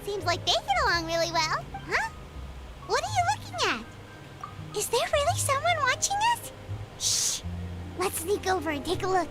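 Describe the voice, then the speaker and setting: A tiny squeaky fairy sidekick, extremely high and swooping wildly in pitch. The childlike chatter is eager and nosy, in anime game style with music behind it. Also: female voice, huge pitch swings, normal speed, long pauses, heavily acted. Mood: curious, hushed. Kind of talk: anime video game voice acting.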